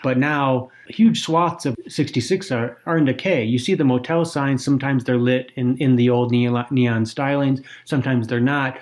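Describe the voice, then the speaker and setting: A man's voice: medium pitch, moderate pace, hardly any pauses. A man with a mid-range voice co-hosts a podcast. He explains his points fluently in a conversational tone, dropping in the occasional filler. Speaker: podcast co-host.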